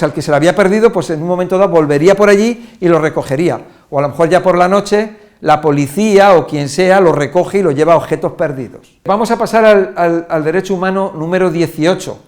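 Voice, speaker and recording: A man's voice: high voice, a little mellow. A man from Spain with a Spanish accent, giving an informal lesson and listing example after example, with frequent restarts. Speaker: teacher.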